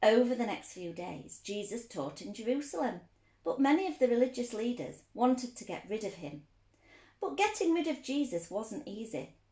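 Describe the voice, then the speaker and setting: A woman reading a story aloud for children, expressive, explaining things simply as a teacher would. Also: a little high, moderate pace, reverberant.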